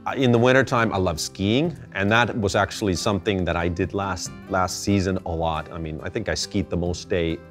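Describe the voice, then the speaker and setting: A middle-aged man from Finland, answering interview questions thoughtfully, with halting restarts. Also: sits low, soft background music. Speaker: interview guest. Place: indoor gallery space.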